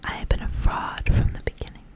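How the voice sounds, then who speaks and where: A woman reading a story aloud in an ASMR style, whispering softly and without hurry. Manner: whispering, soft and unhurried storytelling. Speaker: ASMR reader.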